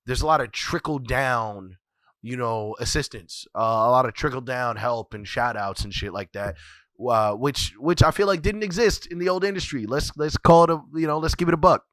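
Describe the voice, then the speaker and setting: A man with a stuffy, scratchy voice from a cold hosts his podcast. He rambles and repeats himself, swearing freely, at a middling pitch. The sound is close and dry. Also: moderate pace, a bit bright, no echo. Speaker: podcast host. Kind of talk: casual profane solo commentary.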